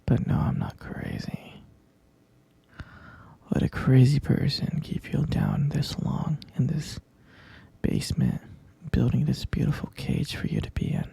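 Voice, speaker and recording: A man voicing an obsessive, possessive young captor in an ASMR roleplay, whispering softly and calling the listener pet names. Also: medium pitch, huge pitch swings, unhurried, dry recording, exaggerated voice. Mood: obsessive, doting. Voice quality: gravelly whisper.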